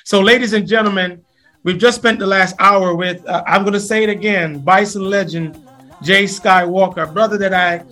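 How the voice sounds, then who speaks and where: A middle-aged man with a Jamaican accent hosting a podcast interview, chatty and quick to laugh. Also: high voice, fairly even intonation. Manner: warm, laughs often, repeats phrases. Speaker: podcast host. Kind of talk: casual interview chat.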